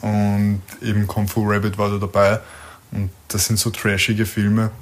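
Low-pitched Austrian man, casual podcast talk. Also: completely flat delivery, measured pace. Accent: Austrian German. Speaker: podcast co-host. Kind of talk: casual unscripted chat about films and series.

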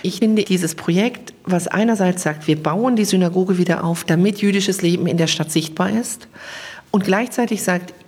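A woman's voice: on the low side, moderate pace, darkish tone, husky. A woman speaking as an interview guest, explaining her views in German. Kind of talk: spoken interview answers, explanatory.

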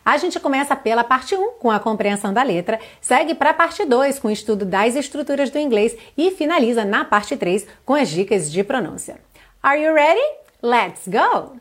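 A woman from Brazil teaching an online English lesson, reading lyrics slowly and carefully and then translating them. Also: on the high side, animated.

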